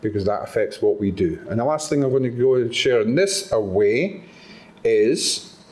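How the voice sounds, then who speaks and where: Scottish man giving an animated talk. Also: mid-range voice, normal speed. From Scotland. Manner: animated, asks the audience rhetorical questions. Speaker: speaker at a patient summit. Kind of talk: motivational talk to a live audience. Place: conference room with a live audience.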